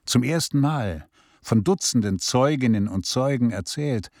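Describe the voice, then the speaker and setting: An actor playing a sober Israeli radio journalist, narrating a documentary radio play in a measured, deliberate way. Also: male voice, mid-range voice, animated, a little mellow, no room sound, studio quality.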